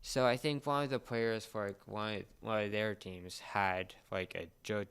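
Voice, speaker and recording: A young man co-hosting a casual podcast chat, speaking in a halting, rambling way and often repeating words. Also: on the low side, steady tone, fairly bright tone, smooth. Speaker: podcast co-host.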